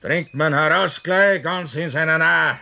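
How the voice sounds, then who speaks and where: An actor playing a stubborn, earthy, schnapps-loving country carter with a Bavarian accent in a 1960s radio play. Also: male voice, a little high, vocal fry, bright, forceful tone, exaggerated voice. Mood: hollering, boisterous. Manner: unhurried, obstinate, earthy.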